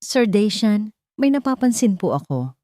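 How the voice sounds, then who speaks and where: A woman's voice: medium pitch, big pitch swings, unhurried, dark tone, no echo, clean studio sound, pitched above the performer's own voice. A Filipino woman voicing a timid, earnest young nanny who speaks hesitantly and respectfully. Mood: earnest, worried.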